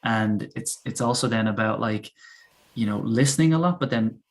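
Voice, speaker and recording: Low-voiced chatty male English teacher. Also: normal speed. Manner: chatty, repeats words as he thinks aloud. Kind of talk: casual English teaching chat.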